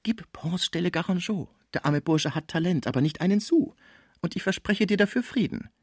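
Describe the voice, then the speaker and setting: Man voicing a cheeky, high-pitched young female dancer. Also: moderate pace, no room sound, clean studio sound. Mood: coaxing. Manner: teasing, declamatory.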